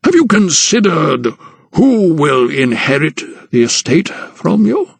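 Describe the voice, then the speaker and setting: A man voicing a conniving older aristocratic gentleman who meddles as guardian, speaking slowly and patronizingly. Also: a little high, very animated, creaky, breathy edge, dry recording, voice pushed up, full character voice. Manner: slow, drawn-out, patronizing.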